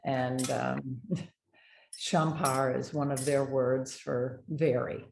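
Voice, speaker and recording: An older American woman with a low voice tells animated stories about her work as a dental hygienist. She speaks in a casual, conversational way. The room sounds echoey, and faint noise sits underneath. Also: slow, gravelly. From United States. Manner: animated anecdotes with laughter. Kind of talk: casual interview storytelling.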